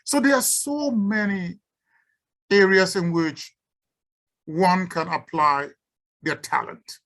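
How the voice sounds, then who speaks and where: A woman's voice: fairly low pitch, slow pace, fairly bright tone. A woman from Cameroon with a Cameroonian accent, giving an unscripted symposium talk and taking questions, often stumbling and repeating words. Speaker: symposium speaker and researcher.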